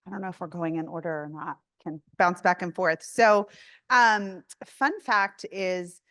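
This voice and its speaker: Woman panelist, casual conversational, low pitch, friendly fillers. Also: measured pace, fairly bright tone. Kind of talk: panel discussion answer.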